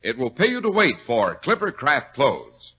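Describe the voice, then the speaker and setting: A man's voice: medium pitch, wildly swooping pitch, measured pace. A male radio announcer delivering a polished, persuasive scripted sales message in 1940s style. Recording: dull old radio recording.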